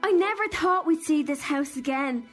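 An affectionate young woman in a radio play. Her voice is extremely high and eager, with faint music behind it. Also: expressive, moderate pace. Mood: eager, pleading. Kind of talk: radio drama acting.

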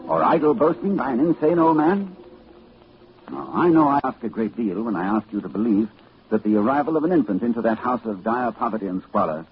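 An actor playing a grave, urgent professor narrating horror in a formal, portentous 1940s radio style. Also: male voice, fairly low pitch, a little hiss or hum, lightly acted. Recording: muffled 1940s radio recording.

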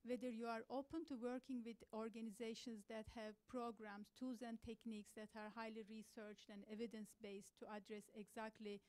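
A woman stands in the audience of a large conference hall and puts a question to the panel. Her voice sits high but stays flat, and she speaks in a measured way with the odd "um". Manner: measured, with fillers. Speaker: audience member asking a question.

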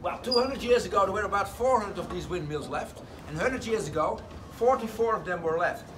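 A man's voice: sits high, a little fast, crowd noise. Man guiding a mill tour. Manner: lively storytelling, slightly broken English phrasing. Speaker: windmill guide. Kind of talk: guided tour talk. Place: large wooden sawmill interior. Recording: distant voice with noisy background.

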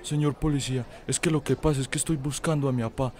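A man's voice: medium pitch, fairly even intonation, quick pace, a little mellow, slight background noise, natural voice. A man with an Antioquia Colombian accent playing a naive, flustered young villager in a radio drama. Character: naive, flustered young country man seeking his father.

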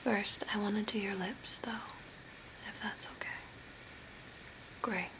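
Girl doing a creepy calm zombie makeup lady, soft breathy asmr whisper, really slow, noisy home recording. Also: mid-range voice. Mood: calm, eerie. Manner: slow, deliberate, gently instructing. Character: eerie zombie makeup artist woman, calm and attentive. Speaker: ASMR host. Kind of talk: whispered ASMR role play. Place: small room at home by a window. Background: clear background noise.

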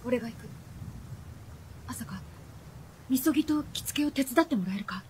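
A woman's voice: high voice, lively intonation, moderate pace, darkish tone, a little hiss or hum. An actress playing a brash, defiant young hero who talks bluntly like a boy in a radio drama. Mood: resolute. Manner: blunt masculine speech. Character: brash, defiant, boyish-talking youth, reckless and proud.

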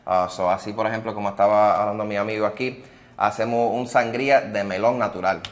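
A man, a restaurant owner answering interview questions in a relaxed way, dropping in English words. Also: lowish voice, brisk. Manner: relaxed, many "ah" fillers, mixes in English words. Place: indoors at a restaurant bar.

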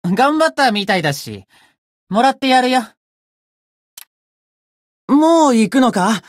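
A woman voicing a cocky, bratty young demon who boasts pompously in rough slang, in a Japanese game character style. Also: a little high, very animated, takes it slowly, no echo, studio quality, exaggerated voice. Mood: cocky, teasing.

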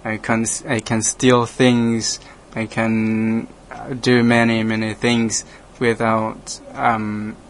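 Guy from laos talking slow and flat, lots of restarts, lao native, bit of hiss behind. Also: lowish voice. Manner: halting, hesitant, frequent restarts, laughs often. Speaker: radio program host, monk. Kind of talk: casual conversation in English.

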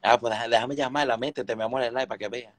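A man's voice: mid-range voice, fairly even intonation, fast. Young Dominican man chatting casually. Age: 20s. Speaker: livestreamer making prank calls. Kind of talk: casual livestream chat. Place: indoors in a room at home. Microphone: phone held at arm's length.

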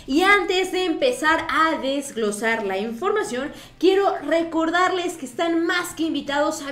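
A woman's voice: high-pitched, a bit bright, echoey room. A young woman with a Mexican accent, hosting news commentary to camera. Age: young adult. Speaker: news host.

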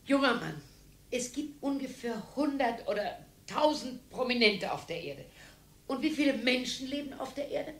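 A sharp-tongued older wife needles her bored husband in a radio play. Her husky, gravelly voice swings widely in pitch. She sounds sarcastic and dismissive. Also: big pitch swings, moderate pace, full character voice.

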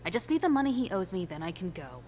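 Low-voiced, jaded young streetwalker. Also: female voice, big pitch swings, fast, a little mellow, slight background noise. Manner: wry, cool. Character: jaded, sardonic young streetwalker, tough and teasing. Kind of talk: noir video game acting.

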